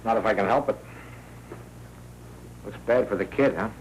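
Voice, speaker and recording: An actor playing a glib, wisecracking American newsroom tipster in slangy 1940s film noir style. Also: male voice, lowish voice, moderate pace, close to an everyday voice. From United States. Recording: noisy, crackly 1940s film soundtrack.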